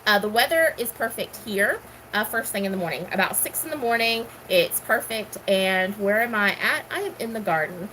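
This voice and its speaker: Bright-voiced chatty woman. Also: medium pitch, lively intonation, moderate pace, slight background noise, low-quality recording. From Houston, Texas. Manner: chatty and rambling, many ums and let's sees. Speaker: gardening vlogger. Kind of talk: casual chat answering questions.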